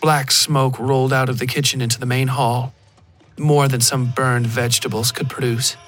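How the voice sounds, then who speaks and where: A man giving a scripted first-person narration of dark horror fiction. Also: medium pitch, flat delivery, normal speed, no room sound. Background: music.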